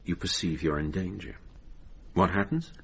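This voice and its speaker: An actor playing a calm, earnest male hypnotherapist in a radio drama, measured and reassuring as he questions and soothes. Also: sits low, gravelly, husky, dull audio, full character voice. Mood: instructive, soothing.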